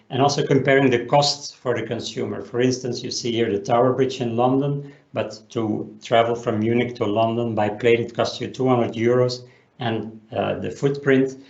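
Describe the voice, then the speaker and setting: A man gives an explanatory business webinar presentation, often pausing on an uh. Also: medium pitch. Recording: roomy echo, dull lo-fi sound.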